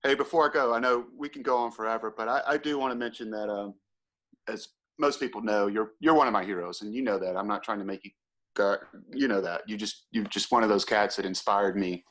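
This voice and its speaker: A man's voice: fairly low pitch, brisk, fairly bright tone. A man from Georgia with a Southern American accent, hosting a casual interview in an easygoing, admiring, chatty way. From Georgia, USA. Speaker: host.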